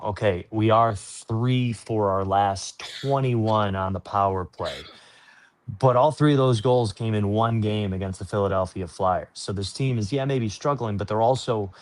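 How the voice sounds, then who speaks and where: A man's voice: lowish voice, measured pace. A man working as a sports announcer, answering interview questions in an earnest, informal, explanatory way.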